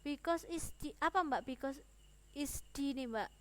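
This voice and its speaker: A woman's voice: sits high, unhurried. A woman with an Indonesian accent teaching English informally to students, mixing English terms into her explanations and often checking in with small tags. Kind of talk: informal online lesson, explaining and addressing students.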